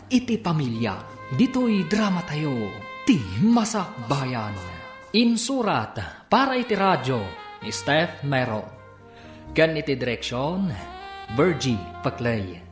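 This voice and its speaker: A radio serial announcer reading scripted dramatic narration. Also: mid-range voice. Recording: echoey radio studio, clear music behind. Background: music.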